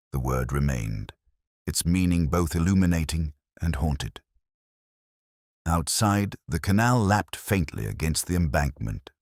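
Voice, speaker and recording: A man narrating a first-person detective story in a measured, solemn, literary manner. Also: extremely low pitch, no room sound, studio quality.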